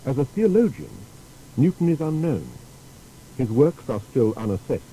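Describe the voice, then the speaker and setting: A man narrating a scripted biographical documentary in a measured, explanatory way. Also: mid-range voice, sing-song, warm tone, slight background noise, poor audio.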